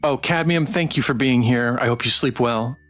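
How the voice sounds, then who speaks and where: A man streaming a live game playthrough, reading the story aloud in an expressive, theatrical way and chatting with viewers. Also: medium pitch, normal speed, soft background music. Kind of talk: live playthrough narration with character read-alouds.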